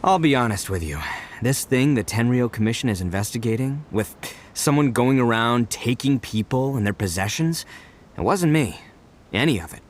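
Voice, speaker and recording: An actor playing a brash, boastful young gang leader in a game cutscene, loud and excitable. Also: male voice, normal speed, a little hiss or hum, close to an everyday voice. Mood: earnest. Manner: loud, excitable, big swings in pitch. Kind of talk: video game cutscene dub.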